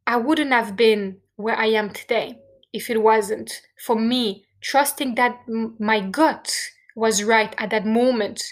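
A woman's voice: on the high side, fairly bright tone. A woman with a French accent, a native French speaker from France, sharing a personal story in an earnest, heartfelt monologue to her listeners. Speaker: podcast host.

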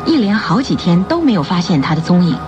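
A woman with a slightly low voice narrates a dramatic story for radio. She is measured and expressive. The recording is dull, with music clearly playing behind her.

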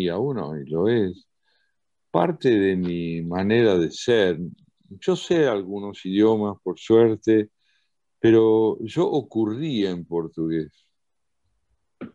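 Rioplatense man, unhurried and thoughtful, warm low tone, dry slightly muffled audio. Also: dry recording. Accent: Rioplatense Spanish. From Argentina. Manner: measured, thoughtful, with slow hesitations. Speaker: poet and translator, interview guest. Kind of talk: reflective interview answers.

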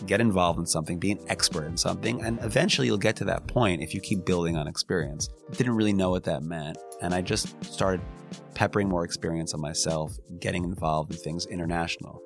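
Deep gravelly American man. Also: brisk, faint music behind. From United States. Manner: frequent restarts and "you know" asides, earnest. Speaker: interviewee, lawyer. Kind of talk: reflective casual interview talk.

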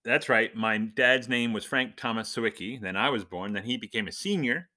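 A middle-aged man hosting a casual podcast, chatting and telling stories with animated, emphatic build-ups. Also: medium pitch, normal speed. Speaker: podcast host.

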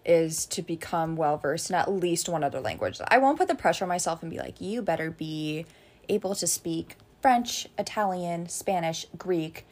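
A woman's voice: lowish voice, animated, moderate pace, darkish tone. Young american woman just chatting, super casual, says like a lot. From United States. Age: young adult. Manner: informal, peppered with "like". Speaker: podcast co-host. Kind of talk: casual chat.

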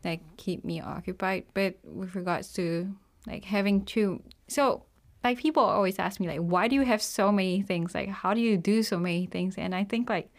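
A woman's voice: medium pitch, gravelly. A young woman from Singapore, chatting as an interview guest and filling her talk with "like" and "you know". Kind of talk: casual conversational interview.

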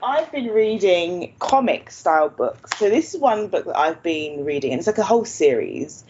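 A woman's voice: fairly low pitch, big pitch swings, normal speed, darkish tone, a little hiss or hum. A native English-speaking woman hosting a language-learning video, talking casually and laughing often. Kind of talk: casual chat. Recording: dull lo-fi sound.

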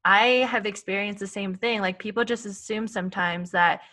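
Bright-voiced earnest Canadian woman. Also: medium pitch, steady tone, moderate pace. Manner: earnest, thoughtful, uses "like" often. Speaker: podcast co-host. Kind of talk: casual conversation.

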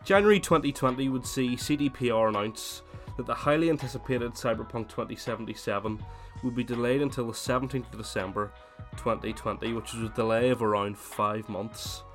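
A man with a cold hosting his gaming podcast, chatting casually and then reading a scripted essay in steady narration. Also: mid-range voice, brisk, few pauses, faint music behind. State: has a cold, voice slightly worn. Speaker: podcast host.

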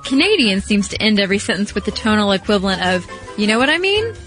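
A woman co-hosting a podcast, reading listener letters in a lively, playful way. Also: mid-range voice, fast talker, soft background music. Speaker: podcast co-host.

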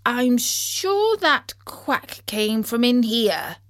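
A woman's voice: a little high, sing-song, very slow, fairly bright tone, dry recording, studio quality, pitched above the performer's own voice, exaggerated voice. A woman voicing a cheery, curious male animal companion who talks in a musing, reassuring, old-fashioned way.

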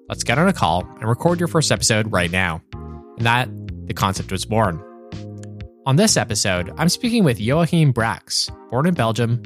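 A man hosting a podcast, asking questions in a friendly, curious way with some stumbles. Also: medium pitch. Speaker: podcast host. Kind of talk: podcast interview.

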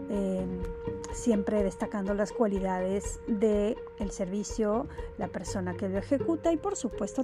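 A woman hosting her own podcast, talking casually with many fillers and restarts as she thanks listeners and makes announcements. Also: mid-range voice. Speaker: podcast host.

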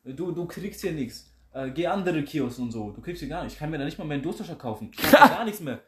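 A young man rattles through a story at a quick clip, slangy and loose. His voice bounces around a roomy, echoey space. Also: on the high side, animated, a little hiss or hum. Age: young adult. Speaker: friend in group chat. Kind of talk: casual banter, storytelling.

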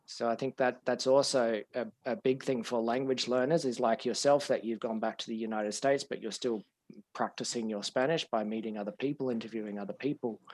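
A man's voice: mid-range voice, fairly even intonation, a little fast, a little mellow. Australian man hosting a podcast chat. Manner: thoughtful, asks long probing questions. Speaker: podcast host. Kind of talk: casual interview chat.

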